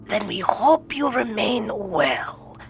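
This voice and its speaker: A woman's voice: medium pitch, sing-song, slow, gravelly, heavily acted. A woman playing an ominous Norse sorceress in a fantasy audio drama, portentous and theatrical. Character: ominous Norse sorceress prophesying doom to a king. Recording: loud music under the voice.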